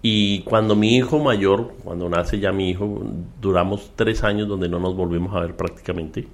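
Middle-aged Colombian man, very low voice, measured storyteller, guest minister being interviewed. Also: fairly even intonation. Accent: Colombian Spanish. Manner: measured storytelling with frequent fillers. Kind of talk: relaxed testimony in conversation.

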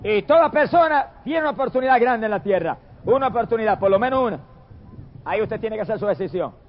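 A man preaching a fervent sermon, emphatic and repetitive, with drawn-out exclamations of praise. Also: high voice, expressive, a little hiss or hum. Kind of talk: fervent Pentecostal-style sermon. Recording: dull lo-fi sound.

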